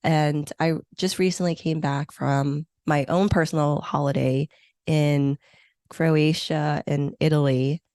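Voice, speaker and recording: A woman's voice: sits low, fairly even intonation, takes it slowly, a little mellow, no room sound, studio quality. A woman in her thirties, a Hong Kong–born meeting planner, speaking as a guest in an interview with an enthusiastic, reflective manner. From born in Hong Kong, grew up in the U.S. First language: Chinese or Vietnamese. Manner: enthusiastic, reflective, uses many fillers. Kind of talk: conversational interview.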